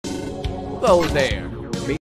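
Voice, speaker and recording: Man acting a hot-tempered farmer, animated pitch swings. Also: drawn-out words, heavily acted. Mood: suspicious, threatening. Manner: ranting, threatening, broken phrasing. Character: hot-tempered, paranoid male farmer guarding his corn. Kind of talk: amateur game character voice acting. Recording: music playing behind the voice.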